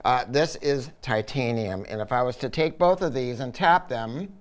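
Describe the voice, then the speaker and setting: A man with a mid-pitched voice talks through a technical product review. He explains things casually but emphatically, as if chatting with a co-presenter. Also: normal speed, clean tone.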